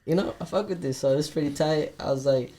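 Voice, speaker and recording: A man working as a barber, guest on a podcast, telling a story in a soft-spoken, laid-back way and calling everyone "bro". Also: a little high, fast. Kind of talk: casual storytelling.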